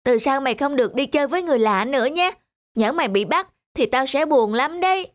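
A woman's voice: high-pitched, lively intonation, quick pace, a little hiss or hum. A woman voicing a plucky, possessive young boy in a cartoon, with a direct, outspoken, childlike delivery. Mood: worried, affectionate. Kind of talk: Vietnamese cartoon dubbing.

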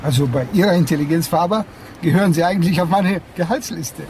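An actor playing a powerful, smug arms dealer in a crime radio drama, condescending and self-assured. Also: male voice, a little high, fast, darkish tone, close to an everyday voice. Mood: smug, mocking. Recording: clear background outdoor and vehicle noise.

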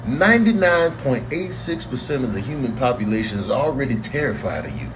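A man's voice: mid-range voice, big pitch swings, a little fast. A man in his thirties giving comedic reaction commentary, slangy and mock-serious with wordy exaggeration. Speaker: comic voiceover commentator. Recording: faint background noise.

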